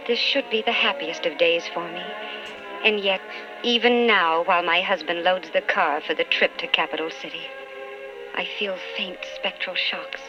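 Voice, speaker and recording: An actress playing an anxious, brooding politician's wife in a wistful, dramatic old-time radio style. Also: female voice, on the low side, fairly even intonation, moderate pace, music in the background, natural voice. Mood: foreboding. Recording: muffled old radio recording.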